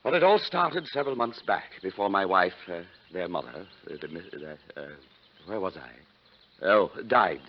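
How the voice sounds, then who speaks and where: Wealthy stepfather: florid, fussy, self-important, low register, 1940s radio, noisy vintage recording. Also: animated, moderate pace, lo-fi sound. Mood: fussy, indignant. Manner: pompous, florid, flustered hesitations.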